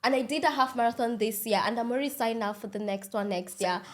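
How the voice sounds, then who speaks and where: Upbeat young Kenyan woman, host of a relaxed chat, chatty and quick to laugh. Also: a little high. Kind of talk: casual chat.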